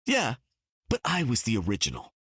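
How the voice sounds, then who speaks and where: Lively, cocky archer hero. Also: male voice, mid-range voice, fairly quick, husky, dry recording, clean studio sound, exaggerated voice. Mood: cocky banter. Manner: brash, bantering. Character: cocky male archer hero, proud of his skills. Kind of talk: video game voice acting.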